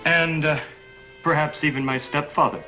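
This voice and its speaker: An actor playing a scheming young stepson in a gothic soap opera, formal, measured and insinuating, with quiet menace. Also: male voice, fairly high pitch, fairly even intonation, natural voice. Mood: coolly threatening. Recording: old TV soundtrack with dramatic music.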